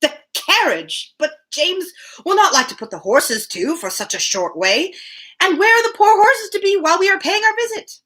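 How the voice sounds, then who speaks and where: Woman reading as a fretful older gentleman, swooping pitch. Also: animated, moderate pace, full character voice, low-quality recording. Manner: plaintive, fussy, worrying. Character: nervous, fretful, gently selfish old widowed English father. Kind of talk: storybook reading of dialogue.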